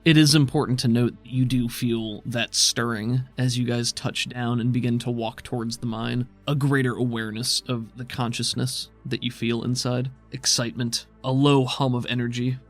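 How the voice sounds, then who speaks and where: A man narrating a tabletop game as game master in a measured, descriptive way. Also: medium pitch, level tone, soft background music.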